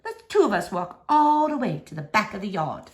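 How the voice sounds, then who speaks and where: A woman reading a children's novel aloud, voicing a wise, kindly old woman in a folksy, gently firm manner. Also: medium pitch, very animated, a little fast, close to an everyday voice.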